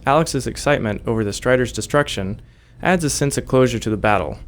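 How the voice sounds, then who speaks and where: A man reading prepared commentary as a game developer. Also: mid-range voice. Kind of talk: scripted developer commentary narration.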